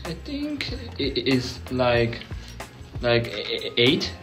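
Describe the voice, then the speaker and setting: A young man talks casually in a halting way, repeating words as he goes. His pitch is medium, with some music in the background. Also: wildly swooping pitch, a bit bright. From Taiwan. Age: young adult. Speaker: snack taster. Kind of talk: casual on-camera reaction chat. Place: indoor room with block wall, seated at table.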